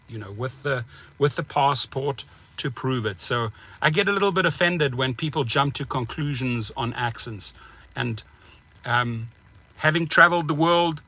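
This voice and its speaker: Man, 40s, South African accent, emphatic vlogger, slightly high and sharp-edged tone, phone mic in a car. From United States. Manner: emphatic, animated, gestures while talking. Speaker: rideshare driver and channel host. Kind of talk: casual vlog rant to camera. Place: parked car, indoors. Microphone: phone held at arm's length.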